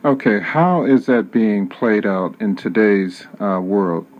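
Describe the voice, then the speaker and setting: A man's voice: lowish voice, slow pace, a little hiss or hum. A man hosting an interview, giving a formal introduction and asking short, direct questions. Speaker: interviewer, host. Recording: dull lo-fi audio.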